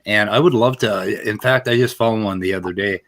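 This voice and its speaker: A man with a lowish voice hosts a live stream. He talks over a debate clip, casual and blunt, and gives his opinions freely. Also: brisk, a bit bright. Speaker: host.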